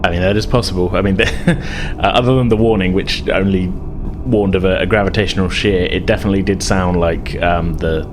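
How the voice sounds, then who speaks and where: A man acting as game master, narrating and improvising a tabletop role-playing game in a halting way. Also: sits low, fairly even intonation. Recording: music behind the voice.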